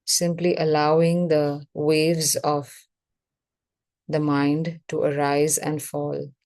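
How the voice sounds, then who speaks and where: Woman, meditation guide, deep-toned, unhurried delivery, measured short phrases. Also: level tone, a little mellow, no echo.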